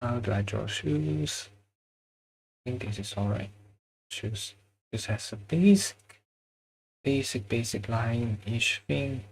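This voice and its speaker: A man's voice: medium pitch, slow pace, gravelly, pauses often, slightly rough, low-quality recording. A man with a Chinese accent, chatting casually with viewers while he draws on a livestream, often searching for words and chuckling. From China. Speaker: drawing livestreamer.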